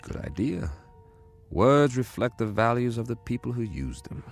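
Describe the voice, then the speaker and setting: An actor playing a breezy, confident, eager man in a radio drama, with an excitable, halting way of talking. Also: mid-range voice, soft background music. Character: easygoing, eager male government codebreaker, breezy and confident.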